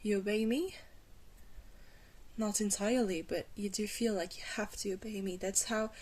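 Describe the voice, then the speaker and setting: A woman playing a very old, protective vampire mentor in a soft-spoken ASMR roleplay, gentle and hesitant, with reassuring pauses. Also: mid-range voice, fairly even intonation, close to an everyday voice. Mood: calmly commanding. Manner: slow, hesitant, reassuring, with breathy pauses and small chuckles.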